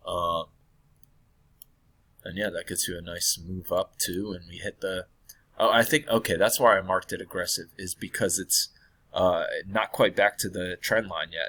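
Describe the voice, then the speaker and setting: Man, deep-voiced, hoarse from illness, casual thinking-aloud chart review with throat clearing. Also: pushed and bright. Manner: thinking aloud, hesitant with many fillers and self-corrections. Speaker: trading analyst.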